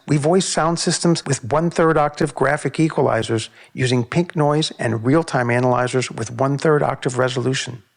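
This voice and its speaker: A man's voice: medium pitch, creaky, slightly rough. Man giving precise technical narration. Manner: measured, precise explanatory delivery. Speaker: narrator of a technical audio video.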